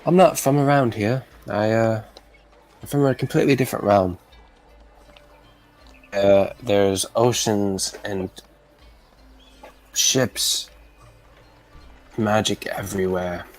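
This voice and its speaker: A man voicing a grinning male sage from another realm who bargains briskly. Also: fairly low pitch, faint music behind, low-quality recording. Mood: wistful.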